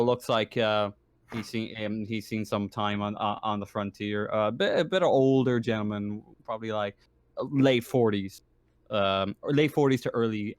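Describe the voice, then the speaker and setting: Casting: male game master, halting and stammering, improvising tabletop narration, very dry recording. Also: fairly low pitch, clear, resonant tone, dry recording. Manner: halting, stammering, rephrasing often.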